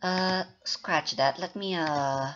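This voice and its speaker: A man's voice: a little high, slow, a bit bright, dull audio, slight background noise. A man rambling casually and joking at his own expense during a game development update. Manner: rambling, self-mocking, joking about his voice. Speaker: indie game developer and YouTuber.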